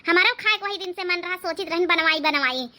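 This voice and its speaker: A woman's voice: very high voice, fast talker, sharp tone, exaggerated voice. A woman voicing a bossy village mother-in-law who scolds and threatens, in Awadhi-accented comic cartoon dialogue. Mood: eager. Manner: haranguing, threatening.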